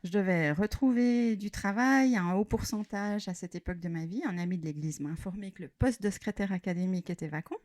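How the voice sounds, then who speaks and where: A woman, the school's academic secretary, talking in a measured, friendly way in a studio. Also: mid-range voice, darkish tone. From Belgium. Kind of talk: podcast guest chat.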